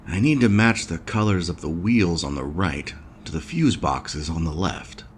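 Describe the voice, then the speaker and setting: A man's voice: sits low, a little slow, slight background noise. A man voicing a skeptical, stubborn space station repairman in a noir adventure game, with a wry, questioning manner. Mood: matter-of-fact. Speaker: video game protagonist. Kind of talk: noir point-and-click game voice acting.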